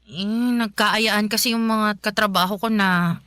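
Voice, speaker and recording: An actress playing a meek, evasive young daughter with a Filipino accent, hesitant and apologetic in a radio drama. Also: female voice, mid-range voice, fairly even intonation, unhurried, sharp tone, smooth, no echo, clean studio sound. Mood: nervous, evasive. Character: meek, evasive young adult daughter placating her mother.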